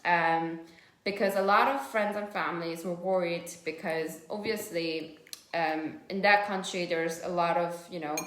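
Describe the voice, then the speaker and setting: A woman's voice: lowish voice, fairly bright tone, a little hiss or hum. A woman in her thirties from South Korea with a Korean accent, chatting casually and telling stories in English, which is not her first language. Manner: chatty, storytelling, loose non-native grammar. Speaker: host of a live stream. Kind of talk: casual chat. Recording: echoey room.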